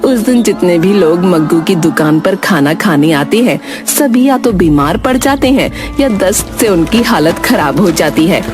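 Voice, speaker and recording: Woman telling a kids story, lowish voice, music clearly playing behind her. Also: lively intonation, normal speed, few pauses. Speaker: narrator. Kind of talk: scripted children's story narration.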